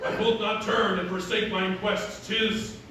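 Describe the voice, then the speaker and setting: A brooding masked avenger who declaims in grand mock-Shakespearean phrases with a rough, beastly growl. The voice is forceful and rings through a very echoey space, away from the mic. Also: male voice, high-pitched, level tone, measured pace. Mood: grandly resolute. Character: brooding masked male vigilante hero, grandly strong and scary. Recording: roomy echo, distant mic, faint noise.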